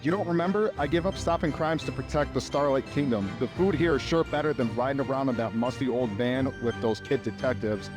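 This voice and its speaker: A man's voice: mid-range voice, fairly quick, few pauses, over music, lightly acted. A man playing a streetwise, sarcastic talking dog in a cartoon-style audio play, brash and wisecracking. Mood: boastful.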